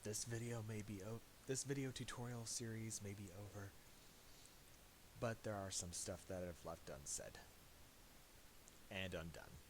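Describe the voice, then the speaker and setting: Low-voiced guy doing a halting software tutorial, takes his time, hissy recording. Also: fairly even intonation. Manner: halting, thinking aloud. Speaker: tutorial presenter. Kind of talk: casual software tutorial narration. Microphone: voice-over for a screen recording. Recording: hissy amateur voice-over.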